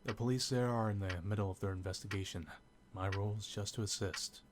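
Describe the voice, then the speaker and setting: An actor playing a businesslike investigator in a game, with a casual, probing, plainspoken manner. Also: male voice, lowish voice, fairly even intonation, fast, lightly acted. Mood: inquisitive, businesslike. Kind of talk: game drama dialogue. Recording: clear ticking background noise.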